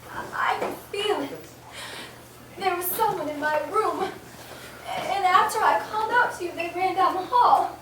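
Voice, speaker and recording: An actress plays a terrified, insistent housewife in old-time radio drama. Her voice is extremely high and bright, melodramatically anxious, echoing heavily from far off. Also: a little slow. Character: frightened, shrill, insistent woman of the house. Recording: very echoey, distant stage recording, faint noise.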